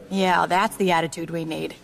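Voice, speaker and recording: Steady, dry-witted adventuress. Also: female voice, lowish voice, gravelly, low-quality recording. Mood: sardonic. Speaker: voice actor in audio drama. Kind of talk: full-cast audio drama acting.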